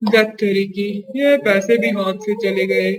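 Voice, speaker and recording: An actor playing a sly, greedy male merchant in a Hindi moral-story cartoon, smooth and ingratiating in manner. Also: medium pitch, steady tone, unhurried, close to an everyday voice, poor audio. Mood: bitter regret. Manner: ingratiating in company, scheming when alone. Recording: music behind the voice.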